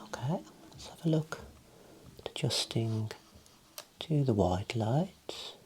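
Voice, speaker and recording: A man speaking softly and breathily, often dropping into a whisper, playing an eye clinician in a gentle, reassuring ASMR role play. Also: medium pitch, lively intonation, slow pace, slight background noise. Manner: gentle, procedural, reassuring instructions.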